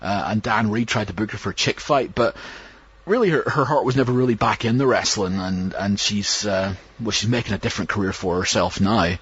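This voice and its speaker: A man's voice: fairly low pitch, brisk, fairly bright tone, slightly rough, no echo. A man hosting a podcast, introducing an interview in a loose, rambling way. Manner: rambling, with many restarts and fillers. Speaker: podcast host. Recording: dull sound.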